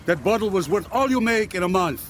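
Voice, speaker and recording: A man's voice: sits high, lively intonation, fairly quick, a bit bright, a little hiss or hum. A man playing a haughty, mocking young merchant prince in an audio drama, scornful and imperious. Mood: scornful, amused.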